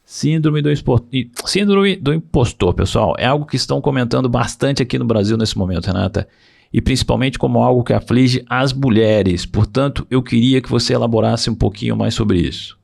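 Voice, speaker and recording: A man hosting a career podcast, talking in a measured, reflective way and summing up his guest's points. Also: medium pitch, gravelly, a little mellow. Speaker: podcast host.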